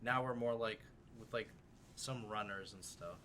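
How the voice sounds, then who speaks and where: A man's voice: on the low side, a little slow, sharp tone, slight background noise. Rambling teenage guy hosting a podcast. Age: teens. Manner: rambling with many fillers. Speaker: podcast host. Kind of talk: casual chat.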